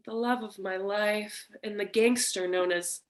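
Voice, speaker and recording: A woman's voice: medium pitch, steady tone, unhurried, lightly acted. Woman playing a melodramatic femme fatale in distress. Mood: earnest, urgent. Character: frightened, seductive femme fatale wife, breathy damsel in distress. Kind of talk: staged reading of a detective noir parody.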